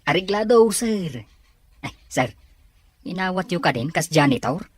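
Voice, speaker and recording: A woman's voice: on the low side, expressive, measured pace, husky, no room sound. A woman playing a chatty, obliging office underling in a serial drama, over music. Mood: chatty, curious. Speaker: actor in a Filipino serial drama. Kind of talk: acted drama dialogue. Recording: music behind the voice.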